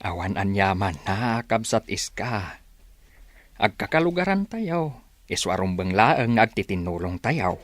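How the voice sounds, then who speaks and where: A man's voice: on the low side, big pitch swings, measured pace, no echo. An actor with an Ilocano accent playing a cautious, worried village father in a radio drama. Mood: consoling.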